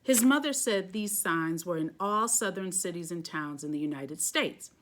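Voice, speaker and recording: A woman reading a children's picture book aloud, phrasing deliberately and stressing key words. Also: on the low side, animated.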